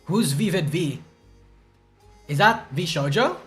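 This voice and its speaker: A man's voice: on the high side, animated, takes it slowly, a bit bright, soft background music. A young man streaming live, rambling and swearing as he reacts to news, groggy from a hangover and stuttering. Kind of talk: casual livestream chat reacting to news.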